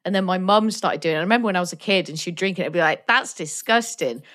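A woman's voice: on the low side, quick pace, fairly bright tone. A woman from Wales, co-hosting a podcast in chatty, self-mocking talk and laughing often. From North Wales. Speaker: podcast co-host. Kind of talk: casual podcast chat.

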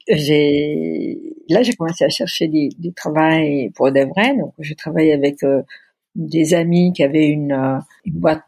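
Woman, Italian accent, middle-aged, husky, dry close sound, halting speech. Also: sits low, warm tone, no room sound. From Italy. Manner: hesitant, searching for words, many restarts. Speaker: interview guest. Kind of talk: recorded podcast interview.

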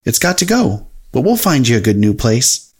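A man's voice: mid-range voice, sing-song, moderate pace, husky. A man voicing a friendly cartoon mouse in a children's storybook, polite, cheerful and eager to reassure. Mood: agreeable.

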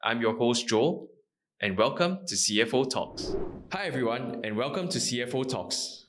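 A man's voice: mid-range voice, lively intonation, normal speed, a bit bright, slight background noise. A man hosting a podcast, reading a polished intro and then asking questions in a looser way. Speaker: podcast host.

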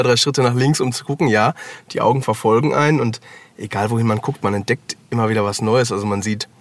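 A man's voice: medium pitch, dry recording. A man speaking as an author guest in casual, reflective conversation, searching a little for his words.

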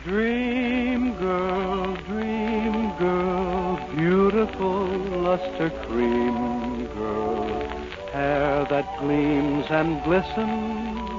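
A man's voice: high voice, extremely slow, loud music behind the voice. A man singing an advertising jingle in 1940s radio style. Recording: muffled old radio broadcast.

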